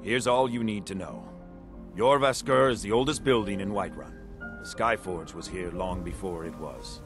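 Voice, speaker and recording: A deep, solemn voice of a seasoned game warrior. He is proud and secretive, measuring his words like a mentor, with music clearly playing behind him. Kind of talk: video game dialogue.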